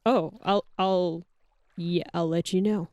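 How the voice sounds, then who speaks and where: A woman's voice: fairly low pitch, steady tone, slow pace, darkish tone, no echo, muffled recording. A woman player asking eager, earnest questions in character during a tabletop game. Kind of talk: casual in-character banter.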